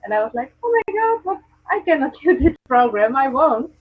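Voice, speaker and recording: A woman's voice: sits high, very animated, fairly quick, slight background noise. Dutch-accented woman on a tinny call. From Netherlands. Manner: earnest, hesitant with many ums and restarts. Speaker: guest, presence coach. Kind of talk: casual video call chat. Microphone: video call. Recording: tinny phone-like video call audio.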